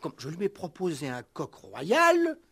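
A fussy, self-righteous innkeeper in an old French radio play. His voice sits fairly high and leaps about constantly. He stammers, flusters and says everything several times over to prove himself. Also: huge pitch swings, a little slow, creaky, a bit bright, slightly rough, full character voice. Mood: indignant, fussy. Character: nervous, eager, self-righteous male country innkeeper and cook.

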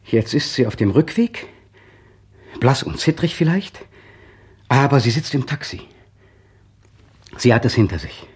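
An actor playing an anxious young man in a radio play monologue, brooding and restless, talking to himself in a husky, breathy voice. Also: mid-range voice, lively intonation, unhurried, long pauses, a little mellow. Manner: restless, swings from muttering to feverish elation.

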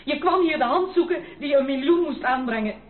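An actress as a proud, indignant young noblewoman, high-voiced. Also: a little fast, lightly acted. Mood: hurt, furious. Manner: haughty, dramatic, formal. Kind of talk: Dutch radio play acting. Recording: muffled narrow-band radio play recording.

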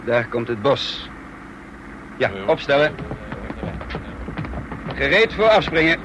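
A man acting in a radio drama as a brisk, authoritative army officer giving clipped orders. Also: on the high side, sing-song, background noise. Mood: businesslike. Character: brisk commanding male military officer leading a raid.

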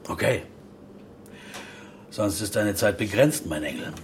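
An actor in a radio drama playing a firm bar boss who speaks bluntly and gruffly but familiarly. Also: male voice, on the low side, lively intonation, a little slow, noisy background. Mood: stern. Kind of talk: naturalistic German radio drama.

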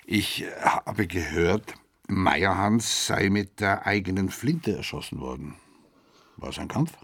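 Deep-voiced, taciturn retired detective. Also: male voice, expressive, takes it slowly, gravelly, fairly bright tone, heavily acted. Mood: sober. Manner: terse, understated. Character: retired, taciturn, guarded police commissioner living rurally. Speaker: retired police commissioner. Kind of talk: radio drama dialogue.

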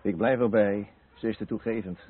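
A man's voice: fairly low pitch, steady tone, normal speed, slight background noise, natural voice. An actor playing a mild, simple, good-natured male neighbour in a naturalistic radio play, a little hesitant in speech. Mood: defensive. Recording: muffled narrow-band old radio recording.

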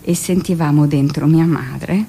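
An actress playing a middle-aged village-born midwife in an intimate, expressive theatrical monologue, with dramatic pauses. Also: female voice, low-pitched, slight background noise. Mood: reflective, absorbed. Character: 45-year-old village-born midwife, recounting childhood, emotional, earnest.

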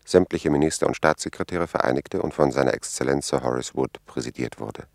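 Very deep, gravelly announcer. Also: male voice, a little fast, dry recording, clean studio sound. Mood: sober. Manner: formal, matter-of-fact. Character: sober official announcer presenting a secret conference recording. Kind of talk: scripted narration in 1950s radio drama.

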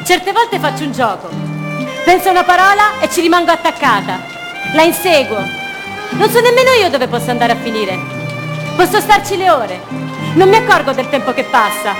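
An actress playing a fragile, dreamy young woman in an introspective radio theatre monologue, in short deliberate phrases. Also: extremely high pitch, sing-song, over music. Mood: dreamy, absorbed.

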